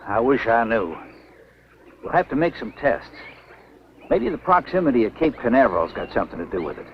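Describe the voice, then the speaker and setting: An actor playing a grave, fatherly older scientist, measured and explanatory, in a 1950s B-movie. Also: medium pitch, very animated. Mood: grave, pondering. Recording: muffled, noisy old film soundtrack.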